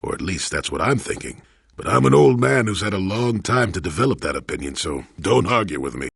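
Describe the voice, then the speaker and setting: A male actor playing a crusty, wry old hermit and former Jedi in a video game, with a gravelly, husky voice and a grumbling storyteller's manner. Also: low voice, sing-song, a little fast, fairly bright tone, no echo, studio quality, heavily acted. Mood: teasing, curmudgeonly. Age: older. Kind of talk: video game character dialogue.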